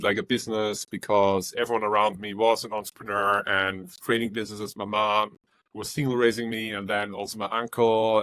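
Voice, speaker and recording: A man's voice: lowish voice, fairly bright tone, a little hiss or hum, poor audio. A man from Austria with an Austrian German accent, a startup founder answering interview questions earnestly, with many ums and uhs. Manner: earnest, with frequent um and uh.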